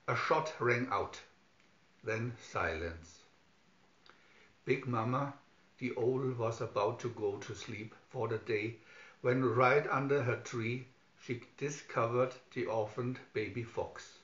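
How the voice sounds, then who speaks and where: Male storybook narrator, slow scripted children's read, lo-fi sound. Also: mid-range voice, fairly bright tone. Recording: dull lo-fi recording, roomy echo.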